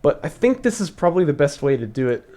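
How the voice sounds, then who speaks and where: Chatty young man, slightly raspy. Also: fairly high pitch, big pitch swings, fast, dark tone. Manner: chatty, thinks aloud with many fillers and laughs. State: slightly raspy from long talking. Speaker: streamer teaching strategy. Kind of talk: casual live-stream commentary.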